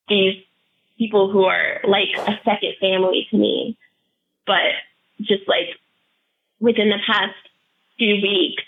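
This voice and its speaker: A woman calling in to a show with a personal update, her voice hoarse and froggy, talking haltingly and earnestly with many restarts. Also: mid-range voice. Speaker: caller. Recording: tinny phone line.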